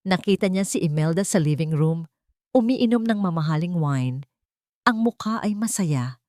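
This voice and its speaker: Lady narrating an audio drama, lowish voice, dry recording, scripted story delivery. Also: expressive, moderate pace, dark tone, no room sound.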